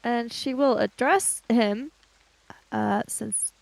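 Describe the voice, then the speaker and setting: A woman's voice: a little high, slow, creaky, dry recording, poor audio. A woman playing a tabletop roleplaying game, casually describing her character in a tentative, trailing way.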